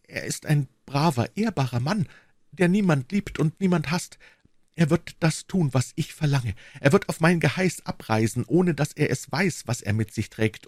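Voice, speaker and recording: A man's voice: mid-range voice, a little mellow, dry recording, studio quality, voice pushed up, lightly acted. A man voicing a devoted, brave young woman servant with an earnest, pleading and reassuring manner in a storybook reading.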